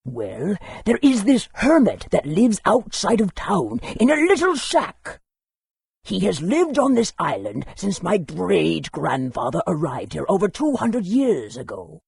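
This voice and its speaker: Raspy, high-voiced scholarly fantasy historian. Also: male voice, wildly swooping pitch, darkish tone, no echo, clean studio sound, full character voice. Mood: helpful, cautionary. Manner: polite, measured, scholarly. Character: courteous bookish male town historian in fantasy.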